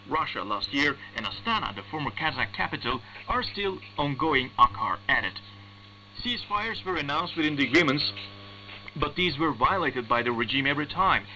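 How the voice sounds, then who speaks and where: A man reading formal scripted news as a radio newsreader, in a measured, deliberate way, over shortwave radio. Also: medium pitch, bright, forceful tone. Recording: noisy humming shortwave radio broadcast.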